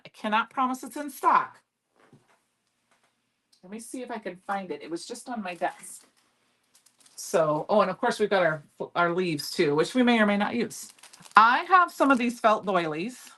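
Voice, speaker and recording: A middle-aged woman with a loud voice, chatting and rambling as she demonstrates a craft project live and answers viewers by name. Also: mid-range voice. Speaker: crafting livestream host. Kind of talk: casual chat while demonstrating crafts.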